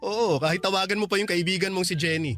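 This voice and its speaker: An actor playing a calm young man in a Filipino radio drama, patiently explaining and defending himself. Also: high voice, fast, faint music behind. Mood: explaining, defensive. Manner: soothing, explanatory. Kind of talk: Tagalog radio drama acting.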